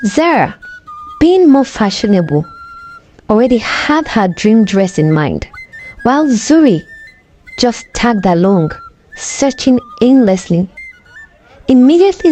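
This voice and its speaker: A woman narrating a scripted folktale in a dramatic, deliberate storytelling manner. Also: medium pitch, warm tone.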